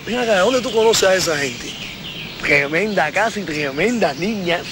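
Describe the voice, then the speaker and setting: An actor playing an easygoing young peacemaker with a Cuban accent, slangy and coaxing, in film dialogue. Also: male voice, sits high, expressive, moderate pace. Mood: dismissive. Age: young adult. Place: outdoors in a wooded park. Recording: old film, noisy outdoor birdsong ambience.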